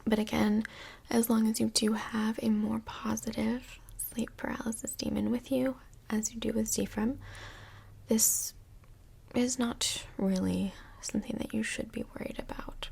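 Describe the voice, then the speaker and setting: A woman playing a calm, reassuring couples' counsellor in a soft-spoken, breathy, mostly whispered ASMR role play, gentle and measured. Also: on the high side, heavily acted. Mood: calm, explaining.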